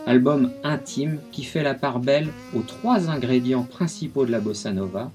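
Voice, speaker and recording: A man's voice: mid-range voice, moderate pace. A man giving a radio music history segment, telling the story with enthusiasm.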